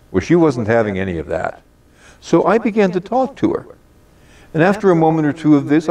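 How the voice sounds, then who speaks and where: An older man, in his late sixties or beyond, tells a personal holiday story in a measured, deliberate and expressive way, as if from a prepared script. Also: medium pitch, far from the mic. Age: late 60s or older. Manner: measured, deliberate, expressive delivery with drawn-out phrases.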